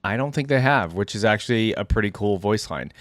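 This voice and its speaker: A man's voice: on the low side, expressive, fairly quick, a bit bright, dry recording, faint music behind. A man hosting a reaction video, giving casual, conversational commentary and thinking aloud. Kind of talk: casual commentary on game voice lines.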